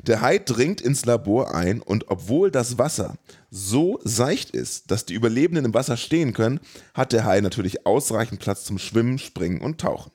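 A man's voice: lowish voice, a little mellow, husky. A man reading out a scripted, comedic plot recap of a film.

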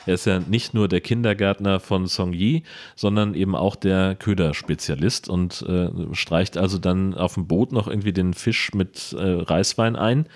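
A man's voice: low-pitched, fairly even intonation, normal speed. A man in a casual film discussion as a podcast host, talking in a colloquial, joking way.

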